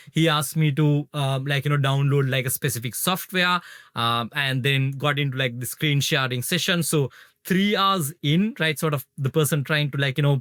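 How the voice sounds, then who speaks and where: A man's voice: mid-range voice, a bit bright, no room sound. A man from Sri Lanka, a startup founder speaking as a podcast guest in a mid-range voice. He talks in loose, rambling runs and tags "right?" and "like, you know" onto nearly every phrase. The sound is dry and close. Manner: many fillers, keeps adding "right?" and "like, you know". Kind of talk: casual interview talk.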